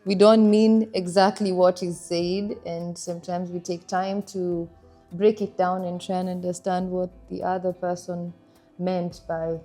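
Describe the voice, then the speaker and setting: A young woman from Kenya, chatting casually about her marriage, animated and laughing now and then. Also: fairly low pitch, unhurried, faint music behind. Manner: animated, laughs while telling stories. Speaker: interviewee, wife in a couple. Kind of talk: casual conversational storytelling.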